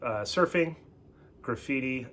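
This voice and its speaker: A man's voice: medium pitch, animated, unhurried, fairly bright tone. A man in his forties chatting casually as co-host, given to rambling, self-interrupting explanations. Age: 40s. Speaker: co-host of a couple's channel. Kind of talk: casual chat.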